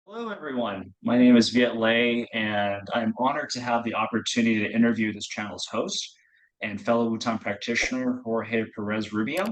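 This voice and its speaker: A man's voice: mid-range voice, rough recording. A man introducing a channel and interviewing a guest, asking questions politely and encouragingly.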